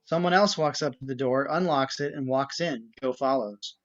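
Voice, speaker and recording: A man's voice: mid-range voice, normal speed. A man reading out stage directions as the narrator of an amateur script reading. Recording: dull, muffled home recording.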